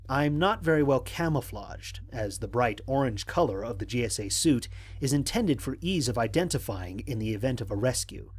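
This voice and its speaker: A stranded male space explorer played by a man records his mission log for an audio drama. His voice is a little husky and swings widely in pitch. The delivery is formal and analytical, with dry, exasperated humor. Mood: dry, reflective. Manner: measured, formal, dryly humorous. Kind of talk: scripted first-person mission log narration.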